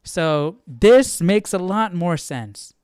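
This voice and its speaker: A man's voice: sits high, lively intonation, slow, a little mellow, clean tone, no echo, studio quality. A man hosting a casual Bible study livestream, thinking aloud and often checking for agreement. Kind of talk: casual commentary.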